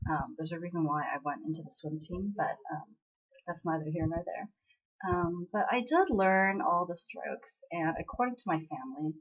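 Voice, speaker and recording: A woman's voice: fairly low pitch, slightly rough, dull audio. An American woman who vlogs about dramas, chatting in a meandering way with lots of fillers. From United States, born in Korea. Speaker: drama vlogger. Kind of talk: casual rambling chat.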